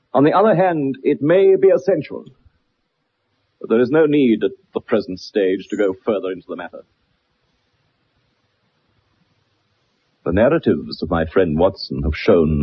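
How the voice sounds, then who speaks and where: An actor playing a keen, self-assured gentleman detective with precise, formal speech in a 1960s radio drama. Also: fairly low pitch, breathy edge. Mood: brisk, assured. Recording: muffled old radio recording.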